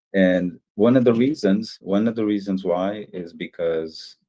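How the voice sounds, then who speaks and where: Guy, portuguese native speaker, deep soft-edged mellow voice, kinda hesitant, casual chat. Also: takes it slowly, low-quality recording. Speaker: discussion participant.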